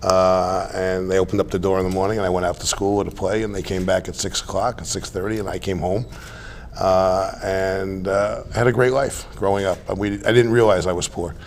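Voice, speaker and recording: A man, a guest telling stories in a relaxed, rambling way with many restarts. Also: low-pitched, brisk, slight background noise. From Staten Island, New York. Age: 60s. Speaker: interview guest. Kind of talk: casual interview chat.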